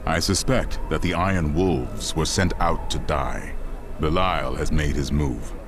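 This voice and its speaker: A grave, deep-voiced mentor. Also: male voice, measured pace, background noise. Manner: grave and suspicious. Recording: game audio with background ambience.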